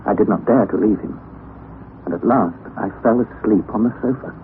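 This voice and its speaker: A young actor playing a wry, anxious nephew who narrates the story, in a 1960s radio drama on a tinny, lo-fi broadcast recording. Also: male voice, lowish voice, normal speed, a little hiss or hum, natural voice. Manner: earnest storytelling, rising to excited outbursts.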